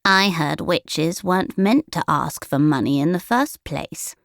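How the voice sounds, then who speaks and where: A woman's voice: low voice, lively intonation, measured pace, creaky, dry recording, heavily acted. Here is a pinched, pious village woman from a storybook, voiced by a woman. She whines in a sanctimonious, small-minded way. The recording is dry. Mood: pious whining. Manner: whining, sanctimonious.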